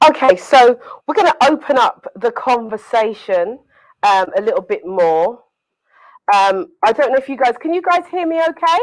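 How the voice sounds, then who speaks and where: A woman's voice: a little high, lively intonation, moderate pace, poor audio. A woman with a British accent hosting a live online talk show over a video call, laughing often and talking straight to her viewers. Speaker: host.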